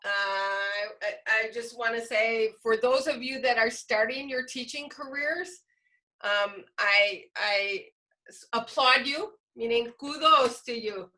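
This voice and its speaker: A woman's voice: on the high side, slow pace, bright, forceful tone, rough recording. A woman giving informal closing remarks as a workshop presenter on a video call.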